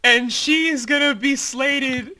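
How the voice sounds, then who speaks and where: A man's voice: very high voice, fairly even intonation, takes it slowly, bright, forceful tone. A man giving casual behind-the-scenes commentary as creator and host, informal and emphatic, with some swearing.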